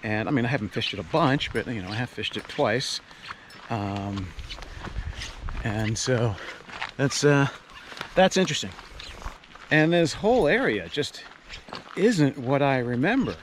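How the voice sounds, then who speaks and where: A man chats to his camera outdoors in a rambling, thinking-aloud way, trailing off and restarting. His voice sits at an ordinary middle pitch. The steady roar of a stream fills the recording behind him. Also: slight background noise. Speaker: fishing vlogger. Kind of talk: casual narration while hiking and fishing. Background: rushing stream water.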